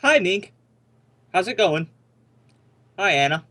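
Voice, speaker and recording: A man's voice: sits high, sing-song, slow, sharp tone, full character voice. A man playing an affable but suspicious suburban husband and father in an amateur radio play, talking conversationally with some exaggerated delivery. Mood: breezy, fatherly.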